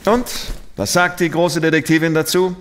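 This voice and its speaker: An actor playing an incredulous, weary French police commissioner with a sardonic manner in a radio drama. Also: male voice, fairly high pitch, little pitch movement, normal speed, background noise, lightly acted. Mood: wry.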